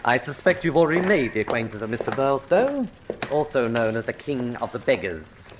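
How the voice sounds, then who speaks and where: An actor playing a suave, menacing colonel in a radio drama, articulate and mockingly courteous. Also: male voice, mid-range voice, very animated, a little fast, background noise, close to an everyday voice. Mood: quietly threatening. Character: suave menacing colonel, a crime lord's lieutenant.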